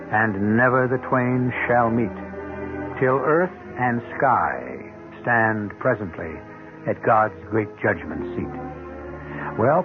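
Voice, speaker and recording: Solemn male radio host over music. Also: lowish voice. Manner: solemn, measured. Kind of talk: scripted dramatic narration. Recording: tinny band-limited radio recording.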